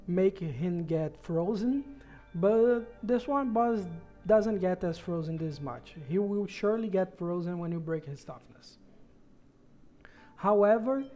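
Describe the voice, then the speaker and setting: Man, Brazilian accent, Portuguese native speaker, casual game tutorial, mellow tone, repeats words for emphasis. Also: high-pitched. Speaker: gaming guide creator.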